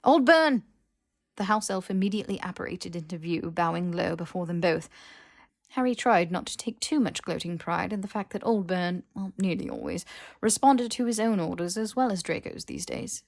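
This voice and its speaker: A woman's voice: on the low side, moderate pace, gravelly, a little mellow, dry recording, clean studio sound. A woman reading scripted fiction as an audiobook narrator.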